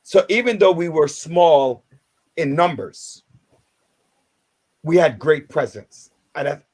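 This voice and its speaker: A man in his fifties from Jamaica with a Jamaican accent, hosting a radio talk show in a halting way, with many restarts and filler words. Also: a little high, expressive, a little mellow. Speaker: radio host.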